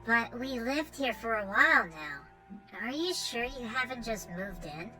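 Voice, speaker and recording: A man's voice: very high-pitched, sing-song, unhurried, soft background music, pitched above the performer's own voice, heavily acted, poor audio. A man voicing a puzzled woman who is new in town, speaking in an insistent, questioning way.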